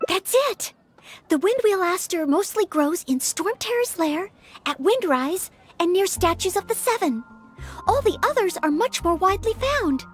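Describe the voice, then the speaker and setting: An actress playing an earnest, studious young maid knight in a video game, thinking aloud in polite, formal phrases. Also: female voice, extremely high pitch, lively intonation, normal speed. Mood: bright, sure. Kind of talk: English video game voice acting. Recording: faint music behind the voice.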